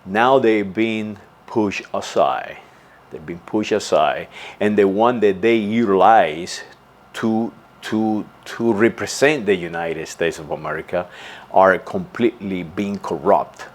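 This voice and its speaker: A man delivering an earnest, insistent monologue as a channel host, often stumbling and repeating words before going on. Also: deep voice. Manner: halting, with frequent restarts and repeated words. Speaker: channel host giving a commentary. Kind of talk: unscripted spoken monologue.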